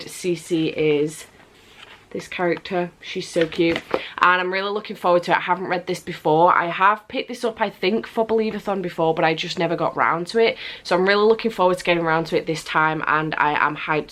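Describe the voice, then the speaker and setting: A young woman with a cold and a stuffy nose, chatting to camera as a book vlogger, repeating words for emphasis. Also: lowish voice. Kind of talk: casual chat to camera. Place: home room with building work nearby.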